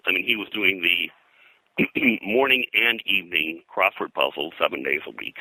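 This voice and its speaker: Older guy w low forceful voice, rambly interview stories, stops and starts, really dry sound. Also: no echo, rough recording. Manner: halting, rambling, frequently throat-clearing. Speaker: pop culture historian being interviewed. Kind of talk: anecdotal interview talk about old-time radio and pulps.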